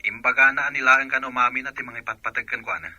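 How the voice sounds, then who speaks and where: A man's voice: medium pitch, little pitch movement, quick pace, bright, forceful tone, dry recording, highs cut off. A man playing a concerned working father in a radio drama, with an Ilocano accent. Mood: reproachful, questioning. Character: concerned working father away from home, firmly questioning.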